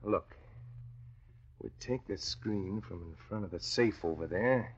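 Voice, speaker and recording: Slangy, clear-voiced scheming gangster boss. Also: male voice, on the low side, a little slow. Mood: urgent scheming. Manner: tough slangy gangster talk. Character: scheming, callous male nightclub-owning gangster boss. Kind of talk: 1940s radio crime drama acting. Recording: dull old radio recording.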